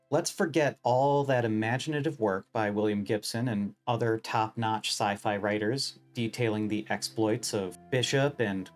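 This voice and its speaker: A man narrating a scripted video essay in a wry, opinionated, emphatic way. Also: medium pitch.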